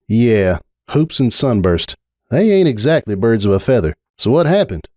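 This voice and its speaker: A man's voice: mid-range voice, very animated, moderate pace, warm tone, no echo, clean studio sound, voice pushed up, lightly acted. A man voicing a plainspoken, guarded female farm pony with blunt, folksy questions, in a dramatic story reading. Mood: wry, probing.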